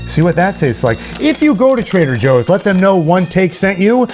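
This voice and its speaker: Guy with a highish voice doing chatty livestream host stuff, jokey and rambly, music playing behind him. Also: very animated, moderate pace, a little mellow. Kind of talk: casual livestream chat and sign-off. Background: Halloween novelty songs.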